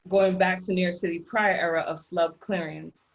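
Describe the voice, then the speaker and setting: A woman's voice: fairly low pitch, fairly even intonation, moderate pace, vocal fry, muffled recording. A woman with a Haitian accent presenting a housing rights workshop over slides, emphatic and repeating her key points. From Haitian background.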